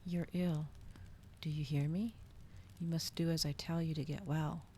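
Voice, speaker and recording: A woman reading the part of a cold, commanding male vampire in a storybook, in a hushed, instructing manner. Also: deep voice, steady tone, unhurried, a little hiss or hum. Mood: urgent coaxing.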